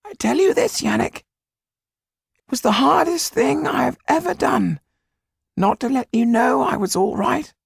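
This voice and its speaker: A man voicing a small, affectionate, excitable older man in an audiobook, with a high, husky, creaky voice and an emphatic, fussing manner. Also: a little slow, dry recording, clean studio sound, heavily acted. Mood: earnest, tender. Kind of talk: audiobook character acting.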